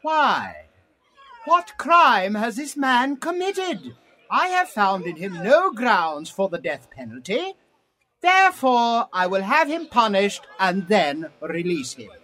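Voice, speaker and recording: A woman playing a troubled Roman governor in a dramatized scripture reading, speaking deliberately and emphatically over music. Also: mid-range voice, very animated, a bit bright. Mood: insistent. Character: troubled Roman governor, a man, questioning a crowd.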